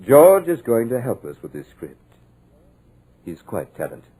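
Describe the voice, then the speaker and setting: A man's voice: mid-range voice, huge pitch swings, measured pace, mellow, breathy edge, heavily acted. A man playing a sinister male hypnotist villain in an old radio drama, silky and taunting. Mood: gloating. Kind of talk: 1940s radio drama acting.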